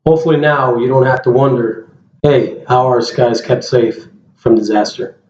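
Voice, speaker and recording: A man's voice: mid-range voice, moderate pace, darkish tone. A man giving a plain-spoken informational presentation as an air traffic controller, addressing his listeners directly. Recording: roomy, dull lo-fi sound, faint noise.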